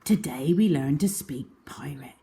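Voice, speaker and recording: Lady doing story time for kids, takes it slow, really up n down expressive voice. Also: low voice. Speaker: children's storyteller. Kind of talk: expressive storybook read-aloud in a child's diary voice.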